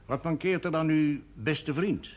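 A man acting a wry, cultured senior cleric in a television period drama, speaking in measured, formal and ironic phrases. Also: a little high. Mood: probing, wry. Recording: noisy old 1970s television soundtrack.

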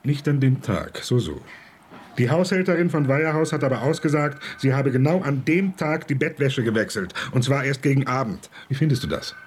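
An actor playing a wry male junior detective in a radio crime drama, smooth and mocking. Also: mid-range voice, expressive, moderate pace, a little hiss or hum, lightly acted. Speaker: police detective.

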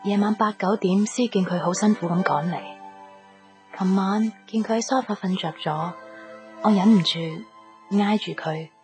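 Radio drama, Hong Kong Cantonese: female actor as young sweetheart, gentle and forbearing, natural mid-range delivery. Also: mid-range voice, moderate pace, over music, lightly acted. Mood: wistful, lonely. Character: gentle young woman in love, insecure and forbearing.

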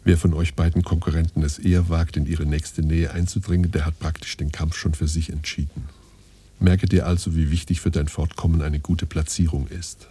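A solemn patriarch lecturing his son in a radio play. The voice is extremely low and dark, with a heavily husky, breathy grain. He speaks in pompous, old-fashioned commands. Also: little pitch movement, moderate pace, full character voice. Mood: solemn, admonishing. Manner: pompous, archaic, instructing in imperatives. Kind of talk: scripted radio play monologue.

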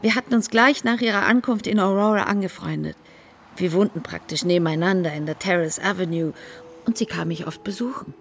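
An actress as a reserved middle-aged shopkeeper in a radio drama. Her medium-pitched voice has noticeable fry, and she speaks in a measured, reticent way, with slight noise behind her. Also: lightly acted. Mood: recollecting. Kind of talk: German radio drama acting.

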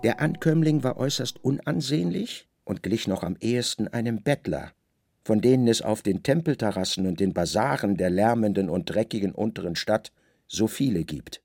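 Animated male narrator with a gravelly edge. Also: on the low side, dry recording. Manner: deliberate, dramatic storytelling. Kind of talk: literary scripted narration for radio drama. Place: dry studio.

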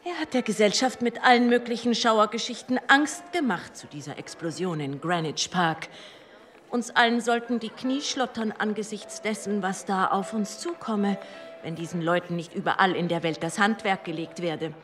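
Lady acting a genteel salon hostess in a period radio play, medium pitch, refined and formal, soft music behind. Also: animated, normal speed, lightly acted. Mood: concerned, confiding. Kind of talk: German radio drama dialogue.

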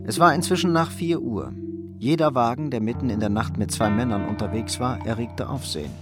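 Guy as narrator for a crime radio play, sober and measured, mid voice, guitar music under it. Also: mid-range voice, lightly acted. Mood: sober, suspenseful. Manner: measured, matter-of-fact. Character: matter-of-fact male narrator of crime story. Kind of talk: scripted narration.

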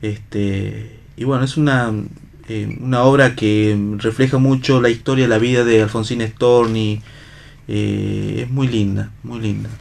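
A man with an Argentine accent talking casually as an interview guest, halting as he searches for words. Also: on the low side, fairly even intonation, vocal fry, a little mellow. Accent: Argentine Spanish. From La Rioja, Argentina. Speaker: theatre actor and director, interview guest.

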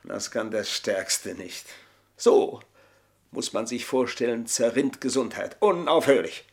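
An older actor playing an embittered, boastful manservant in a German radio play, speaking in a florid, mocking, theatrical way. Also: male voice, mid-range voice, lively intonation, slow pace. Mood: bitter, confiding. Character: aging, embittered, boastful manservant taunting his mistresses.

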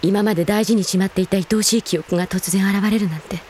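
A woman's voice: mid-range voice, steady tone, quick pace, a little mellow, a little hiss or hum, natural voice. An actress playing a composed, wistful elder-sister team leader in an anime audio drama, poised and ladylike. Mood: troubled, wistful.

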